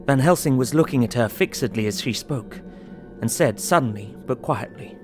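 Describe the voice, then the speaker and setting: Man playing troubled young husband telling his diary, sombre and measured, audio drama with music behind. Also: mid-range voice, expressive, lightly acted. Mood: pained, hushed. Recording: music under the voice.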